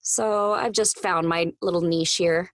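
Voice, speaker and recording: A woman's voice: fairly low pitch, fairly even intonation, unhurried, no echo, clean studio sound. A woman talking casually as an interview guest, articulate and upbeat, like a working voice actress.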